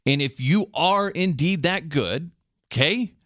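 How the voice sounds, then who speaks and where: A man's voice: fairly high pitch, animated, measured pace, fairly bright tone, no echo, clean studio sound. A man hosting a casual sports podcast, speaking in a blunt, informal, slangy way. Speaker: podcast host, radio station worker.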